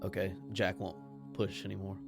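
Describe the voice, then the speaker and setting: A man's voice: sits low, monotone, normal speed, vocal fry, music in the background. A man playing a tabletop game, chatting casually and sometimes groping for his words.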